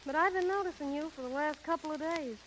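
A woman's voice: high voice, quick pace, natural voice. An actress playing a brash, nosy, slangy showgirl friend in a 1930s radio drama. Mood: prying. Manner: slangy wisecracking. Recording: noisy, crackly 1930s radio recording.

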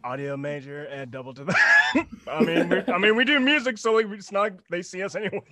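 A man's voice: high voice, huge pitch swings, fairly quick, a crowd in the background. A young man bantering casually in a slangy, jokey way on a group video call. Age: young adult.

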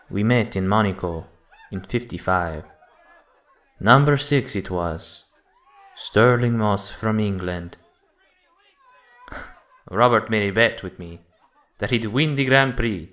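Man playing a suave, lively-pitched gentleman gambler. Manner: suave, courteous, theatrical. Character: smooth, sociable Italian gentleman gambler with secrets. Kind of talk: amateur radio play acting. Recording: music and crowd chatter behind.

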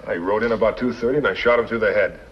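An actor playing a cocky, hard-nosed outlaw in a 1950s television western, with a brisk, offhand manner. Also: male voice, on the low side, close to an everyday voice. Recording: muffled, noisy 1950s film soundtrack.

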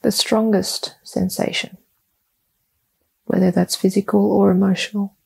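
A woman guides a meditation in a husky, slightly gravelly voice. She speaks very slowly and calmly, keeping an even, level tone and leaving long pauses between short, soothing instructions. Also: mid-range voice, rough recording.

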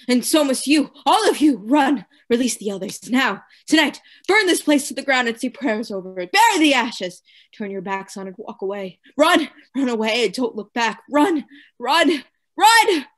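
High voice, tormented asylum lunatic, fanatical, formal theatrical diction, radio play, woman actor.

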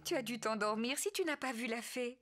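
High, soothing cartoon mother pig; female actor, music underneath. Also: lively intonation, fairly quick, lightly acted. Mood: gentle. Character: gentle, encouraging mother pig. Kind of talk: French cartoon dubbing.

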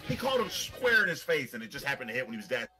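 Excitable man calling a fighting game. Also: mid-range voice, racing. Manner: excitable, hyped play-by-play with shouting. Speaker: commentator. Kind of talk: casual fighting game commentary. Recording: game music loud behind. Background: loud video game music and sound effects.

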